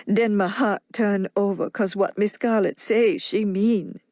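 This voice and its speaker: A woman's voice: medium pitch, steady tone, unhurried, a little mellow, dry recording, clean studio sound, lightly acted. A woman voicing a devoted, frightened old nanny with a heavy Southern US accent and plainspoken dialect. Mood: troubled.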